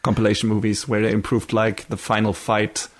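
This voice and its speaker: A man chatting casually as a podcast co-host, asking questions in a searching, halting way. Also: fairly low pitch, flat delivery. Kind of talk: casual anime discussion.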